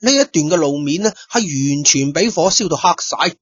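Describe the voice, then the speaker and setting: Husky chatty male storyteller. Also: a little high, a little fast, no echo. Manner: chatty, addresses listeners directly, lively asides. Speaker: storyteller narrating as detective. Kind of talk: first-person Cantonese storytelling. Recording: dull lo-fi dry studio recording.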